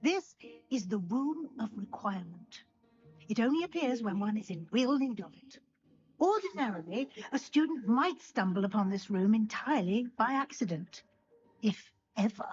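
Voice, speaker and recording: An actress voicing a strict older female witch professor in a video game, speaking in a crisp, measured, formal way. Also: on the high side, expressive, soft background music, lightly acted. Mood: explaining. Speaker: game character. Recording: dull game audio under a stream.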